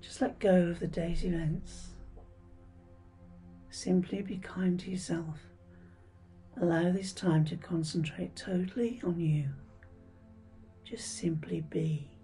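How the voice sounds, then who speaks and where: A woman leading a scripted guided meditation in a soothing, hushed, breathy voice with gentle pauses. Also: lowish voice, fairly even intonation. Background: background music.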